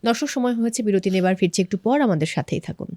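A woman's voice: medium pitch, lively intonation, fast, over music. A woman with a Bangladeshi accent, interviewing and presenting as a television talk show host.

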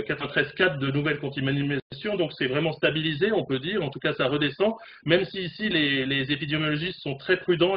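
A man in his forties speaks fast and animatedly as a correspondent over a video call. His voice sits a little high. The webcam sound is dull and carries a faint hiss. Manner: fast, animated, talks with hands. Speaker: correspondent in South Korea. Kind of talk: remote news discussion. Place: home room, indoors.

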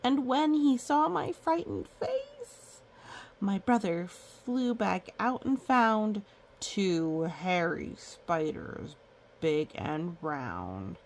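Slow-paced woman reading a picture book aloud. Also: mid-range voice, very animated, slight background noise. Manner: playful, sing-song counting, often breaks off to comment. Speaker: children's book read-aloud storyteller. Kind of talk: picture book read-aloud with chatty asides to children.

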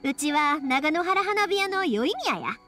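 A woman's voice: sits high, animated, a little slow, soft background music. An actress playing an outgoing young woman with a Kansai accent in an anime game. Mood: friendly. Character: friendly, outgoing young fireworks shop woman. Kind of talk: Japanese anime game voice acting.

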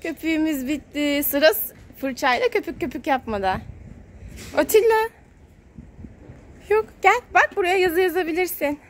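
A woman's voice: sits high, normal speed, long pauses. A woman narrating casually to a small child as a mother filming a family vlog, encouraging and repeating words in a sing-song way. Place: outdoors at a car wash. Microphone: handheld phone camera, speaker behind it. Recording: outdoor car wash background noise.

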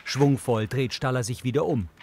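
A man's voice: mid-range voice, moderate pace, noisy background. A man reading scripted audio description of scenes in the present tense as a narrator.

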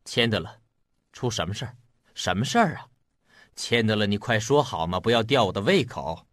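A man's voice: lowish voice, lively intonation, normal speed, fairly bright tone, no echo, clean studio sound. An actor playing an anxious, easily startled middle-aged father in a radio drama, agitated and exclamatory. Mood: nervous, urging. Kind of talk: Mandarin radio drama acting.

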